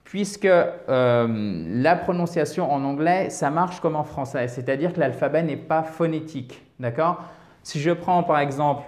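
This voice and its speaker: A man from France giving an online English lesson, explaining step by step with examples and checking in often with quick "ok?" and "d'accord?" asides. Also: a little high, a little mellow, reverberant. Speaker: English teacher.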